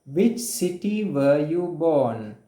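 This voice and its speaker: A man's voice: a little high, big pitch swings, takes it slowly, warm tone, reverberant. A man with a Sri Lankan accent teaching spoken English, patiently repeating questions and giving brief praise over a call. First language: Sinhala. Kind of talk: oral drill over a call.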